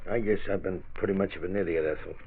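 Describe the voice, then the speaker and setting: A man's voice: deep voice, fairly even intonation, fast talker, a little hiss or hum. An American actor playing a middle-aged, stubborn suburban father in a 1940s radio drama, folksy and a little hesitant. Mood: sheepish. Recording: tinny, band-limited old radio transcription.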